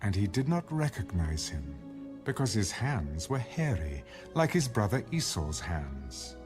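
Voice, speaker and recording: A man narrates scripture in a storytelling style. His voice is low and lively in pitch, with measured phrasing. Music is clearly heard beneath him. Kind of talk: scripted audio Bible narration. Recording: background music under the voice.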